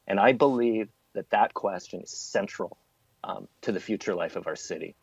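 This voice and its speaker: A man's voice: low-pitched, moderate pace, gravelly, slightly rough. A man being interviewed as a theatre artist, talking in an earnest, discursive way with emphatic repetition. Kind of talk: thoughtful interview talk. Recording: dull, roomy, lo-fi sound.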